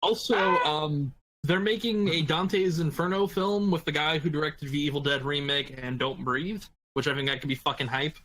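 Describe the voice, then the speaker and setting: A man's voice: on the high side, moderate pace, few pauses, a bit bright, poor audio. A man speaking informally and with casual profanity in a podcast discussion. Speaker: podcast panelist.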